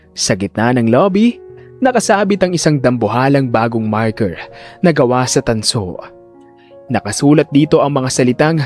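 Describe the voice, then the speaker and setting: Man narrating dramatically, lively intonation. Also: fairly high pitch. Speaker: story narrator. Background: background music.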